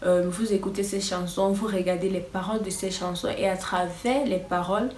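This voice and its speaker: A nervous, hesitant woman whose first language is English, teaching a casual lesson full of fillers. Her mid-pitched voice sounds a bit distant from the mic, with noticeable room echo. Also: fairly even intonation, normal speed, a little mellow, a little hiss or hum. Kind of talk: casual vlog-style lesson.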